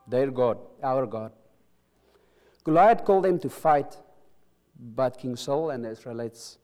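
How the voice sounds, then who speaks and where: A middle-aged man with an Afrikaans accent delivers a sermon in an earnest, halting way, stressing words and restarting phrases. His voice is dark and mellow at a mid pitch. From South Africa. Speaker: preacher. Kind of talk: sermon with opening prayer.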